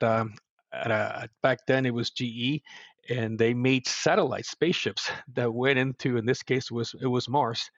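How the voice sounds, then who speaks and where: A man's voice: mid-range voice, no room sound, highs cut off. A middle-aged man from Puerto Rico with a Puerto Rican Spanish accent, speaking as a guest in a podcast interview in an earnest, reflective way with frequent restarts. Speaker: guest, service executive.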